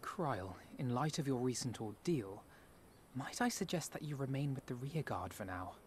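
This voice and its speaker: A man's voice: medium pitch, lively intonation, moderate pace, slight background noise, lightly acted. An actor playing a polite, learned young nobleman in a video game, articulate and formal. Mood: earnest. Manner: formal, articulate, courteous phrasing. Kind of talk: video game dialogue acting.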